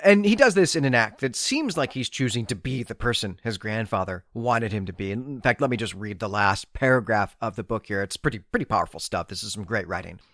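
Expressive male podcast host, dry close sound. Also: lowish voice, a little fast, hardly any pauses, dry recording. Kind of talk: literary review commentary, semi-scripted.

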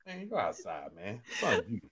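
A man's voice: lowish voice, wildly swooping pitch, normal speed, a crowd in the background. A young man from South Georgia co-hosting a casual sports chat, joking and arguing as he repeats his points. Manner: argumentative, joking, repeats himself. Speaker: co-host.

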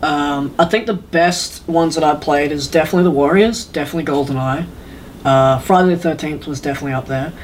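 A man's voice: a little high, fairly quick. A man talks casually as a podcast co-host. His manner is laid-back, slangy and enthusiastic, and there is faint noise behind his voice.

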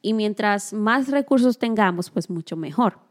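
A woman's voice: medium pitch, lively intonation, normal speed. Encouraging woman co-host. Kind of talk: casual conversation.

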